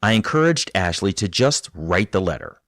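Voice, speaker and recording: A man hosting a podcast, narrating a guest's story and interviewing her in a calm, measured, encouraging way. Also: low voice, no echo, clean studio sound. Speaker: podcast host.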